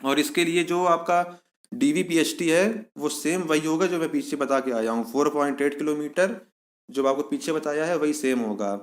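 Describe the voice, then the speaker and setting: Male exam-prep presenter, fairly high pitch, instructive read-and-explain delivery with repeated key points. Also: moderate pace. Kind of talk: informational explainer, reading out and explaining a notice.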